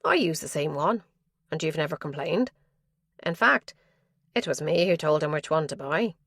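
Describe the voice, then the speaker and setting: A weary male detective from an audiobook thriller, dogged and level, asking his questions in a measured way, as a woman reads him. His tone sits low and the sound is dry and close. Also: lively intonation, creaky, a bit bright, no room sound, heavily acted. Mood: grim.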